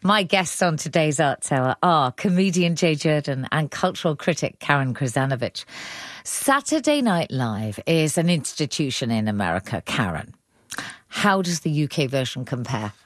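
A woman presenting an arts radio programme, reading scripted introductions in a measured, clear way. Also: low voice, big pitch swings, dry recording, studio quality.

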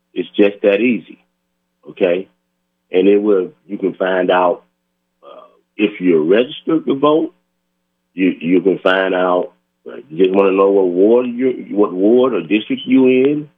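Hoarse male radio host, earnest and urging, frequent filler words, tinny phone-like sound. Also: lowish voice. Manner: earnest, urging listeners directly, many fillers. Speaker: radio talk show host. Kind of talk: community radio talk.

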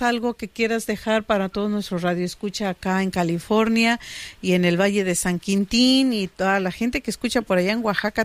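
A woman's voice: medium pitch, no room sound. Chatty woman radio host, dry sound. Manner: warm, chatty, fills pauses with "este" and "pues". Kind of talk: casual radio chat.

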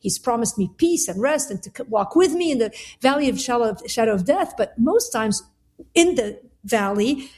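Husky, higher-pitched middle-aged woman from Lebanon. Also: lively intonation, normal speed, a little mellow. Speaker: interview guest, author and Bible teacher. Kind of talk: conversational interview answers.

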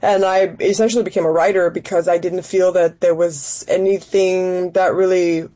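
Female guest from Denmark, actress and writer. Soft-edged dark tone, lower pitch, reflective, searching conversational delivery. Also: steady tone, normal speed. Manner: thoughtful, searching for words.

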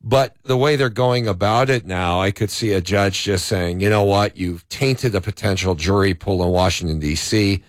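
Low-voiced law professor arguing on radio. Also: male voice, moderate pace, few pauses, no echo. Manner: argumentative, explains with examples. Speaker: law professor, co-host guest. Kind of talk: talk radio legal commentary.

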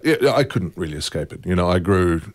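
A middle-aged man, a guest chatting in a relaxed interview with a wry, self-deprecating humour. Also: low-pitched, fairly quick. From born in England, raised in Germany and Australia. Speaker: guest, opera music head.

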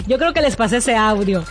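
A chatty woman hosts a gossip segment on the radio in a playful, teasing way. Her voice sits a bit high. Soft music plays underneath her. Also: expressive, unhurried. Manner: chatty, playful, laughs often. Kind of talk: casual celebrity gossip chat.

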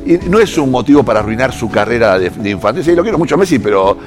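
Argentine man, sports panelist, quick and animated, music under the studio talk. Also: mid-range voice. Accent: Argentine Spanish. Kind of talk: lively studio sports debate.

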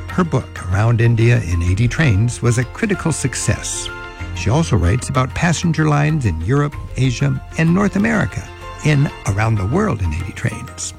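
An older man hosting a travel interview, warmly reminiscing and thinking aloud with small restarts. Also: mid-range voice, creaky. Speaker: travel radio show host. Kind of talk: conversational interview.

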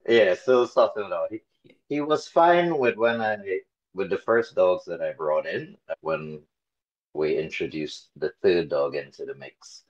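Very deep-voiced man, relaxed and hesitant. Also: measured pace, muffled recording. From Trinidad and Tobago. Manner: relaxed, hesitant with many fillers. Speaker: interview guest, dog carer volunteer. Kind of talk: casual interview conversation.

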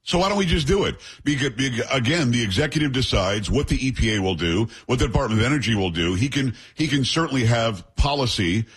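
A talk radio host presses his guest with quick, pushy questions and animated asides. His voice sits at a middle pitch with a husky edge, and he comes across through a radio broadcast. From New York, raised in Florida.

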